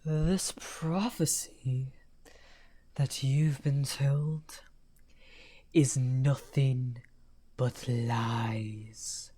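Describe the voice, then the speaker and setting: A man playing a misunderstood outcast necromancer wizard, smugly amused and taunting, in an unhurried, breathy, often half-whispered ASMR roleplay. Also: medium pitch, steady tone, dark tone. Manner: unhurried, taunting, drawn-out phrasing. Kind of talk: slow theatrical ASMR roleplay.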